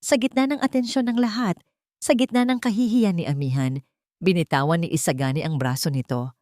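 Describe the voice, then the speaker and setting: A woman narrating a scripted romance story in a deliberate, emotive, dramatic way. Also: fairly low pitch, a little mellow, no room sound, studio quality.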